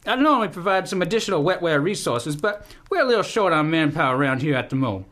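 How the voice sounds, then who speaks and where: Man acting blustery, high-pitched folksy army general. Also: big pitch swings, fast, fairly bright tone. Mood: folksy, scheming. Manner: folksy, rambling, drawling asides. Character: blustery American general, scheming, folksy, older male officer. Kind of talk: comic radio play acting.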